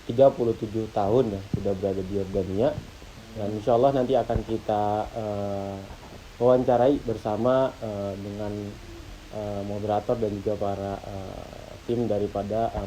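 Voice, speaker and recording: A man's voice: on the low side, fairly even intonation, moderate pace, dark tone, a little hiss or hum, poor audio. A man opening an online talk as host, speaking formally with many hesitant fillers.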